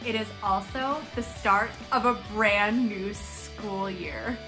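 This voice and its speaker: A young woman reading and discussing a picture book for small children, in an expressive, questioning teacher's manner. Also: medium pitch, takes it slowly. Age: young adult. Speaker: children's story-time teacher. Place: room at home, indoors. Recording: roomy echo, music underneath.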